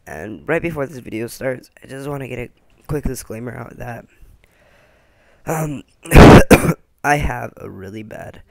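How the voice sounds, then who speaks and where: A young man with a hoarse, scratchy voice after losing it, casually reviewing a game with lots of fillers and restarts. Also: mid-range voice, lively intonation, measured pace, long pauses, no room sound. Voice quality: scratchy, gravelly. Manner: rambling, many fillers and restarts. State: hoarse, lost voice. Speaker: gaming channel host.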